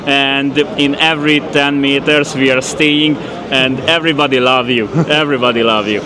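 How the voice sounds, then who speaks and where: A man with a Hungarian accent, hosting a casual interview in halting English with many fillers and restarts. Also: medium pitch, steady tone, a crowd in the background, rough recording. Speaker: podcast host.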